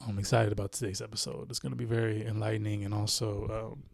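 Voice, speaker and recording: A man's voice: fairly low pitch, level tone, quick pace, vocal fry. A man hosting a podcast interview, laid-back and chatty, with lots of fillers and tag questions. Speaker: podcast host. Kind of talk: casual interview chat.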